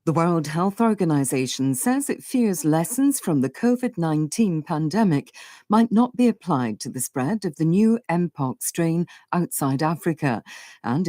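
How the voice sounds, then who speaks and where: A woman's voice: sits low, mellow, dry recording, clean studio sound. A woman reads a scripted world news roundup as a broadcast news reader.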